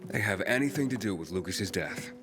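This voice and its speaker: Wary, blunt young survivor. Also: male voice, sits low, lively intonation, fairly quick, over music. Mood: accusing. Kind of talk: video game voice acting.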